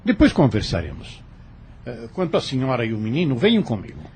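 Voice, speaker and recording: A man's voice: mid-range voice, wildly swooping pitch, fairly quick, a little mellow, breathy edge, heavily acted. A man playing a brusque, formal, commanding master of a colonial plantation house in an audio drama.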